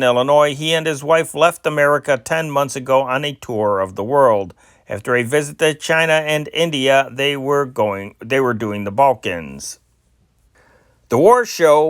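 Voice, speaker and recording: A man's voice: medium pitch, fairly bright tone, no room sound, studio quality. A man reading old newspaper stories aloud, with occasional stumbles and restarts. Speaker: amateur news reader and channel host.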